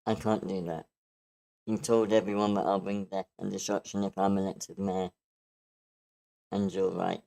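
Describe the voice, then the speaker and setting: Guy doing a low gravelly bad guy, hurt and out for revenge, slow menacing script read. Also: level tone, mellow. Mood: grim refusal. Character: vengeful, wounded male villain running for mayor. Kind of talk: screenplay table read.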